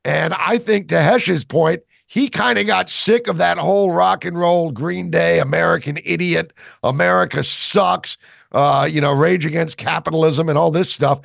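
A man's voice: a little high, moderate pace, vocal fry, fairly bright tone, no echo, studio quality. A man hosting a talk radio show, chatting with enthusiasm.

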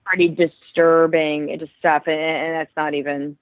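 A woman's voice: deep voice, level tone, normal speed, dry recording. A woman author and journalist in her thirties, originally from Ohio, talking through her book in a thoughtful way, often restarting her sentences. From Ohio, Cleveland area. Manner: thoughtful, many restarts and fillers. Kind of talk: conversational interview. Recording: dry tinny phone-line sound.

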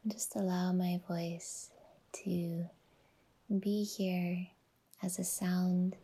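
A woman guiding a relaxation meditation in a soft, whispery, soothing voice, unhurried and leaving long pauses between phrases. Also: lowish voice, level tone, husky. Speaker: yoga nidra guide and podcast host.

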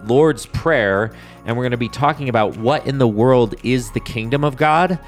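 A man co-hosting a casual faith podcast, loud and resonant, telling stories with lively energy. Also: medium pitch, normal speed, soft background music. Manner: loud, animated storyteller. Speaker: podcast co-host. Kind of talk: casual chat.

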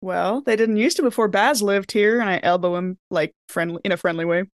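A woman's voice: mid-range voice, brisk, no room sound, studio quality. A woman playing a tabletop role-playing game, speaking casually in character, tentative and often restarting her sentences.

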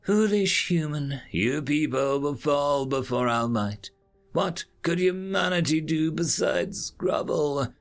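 Imperious alien conqueror, read by a male narrator: formal, condescending, swooping intonation, creaky edges, sci-fi storybook. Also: fairly high pitch, animated, slow, soft background music. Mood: contemptuous. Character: haughty telepathic alien invader, slender and jade green.

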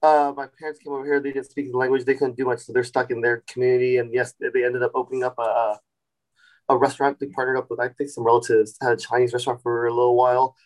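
A man in his forties, a chef and restaurant founder, telling his story in a relaxed podcast interview with many fillers and restarts. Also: mid-range voice, fast talker, low-quality recording. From Sacramento, California. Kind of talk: casual interview.

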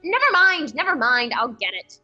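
A woman's voice: sits high, very animated, normal speed, lo-fi sound, over loud music. A woman playing a bossy, impatient, fiery young woman reporter in a 1940s radio comedy. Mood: exasperated, demanding.

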